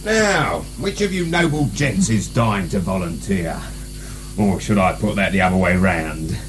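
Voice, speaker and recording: Deep drawn-out male voice, mocking jailer, sardonic, taunting, swooping intonation, radio play. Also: lively intonation. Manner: taunting, colloquial. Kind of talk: radio drama acting. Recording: hum and hiss, radio broadcast.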